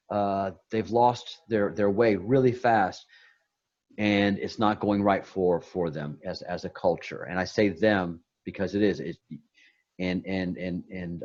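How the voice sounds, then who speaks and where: Rambling low-voiced man on a call. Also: darkish tone, highs cut off. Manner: rambling, many restarts and repeated words. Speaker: guest on a meditation talk. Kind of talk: casual spiritual chat.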